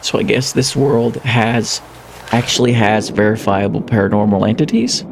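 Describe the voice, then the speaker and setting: A man's voice: on the low side, lively intonation, normal speed, vocal fry, noisy background. A man streaming live, giving casual, offhand reaction commentary in muttered asides.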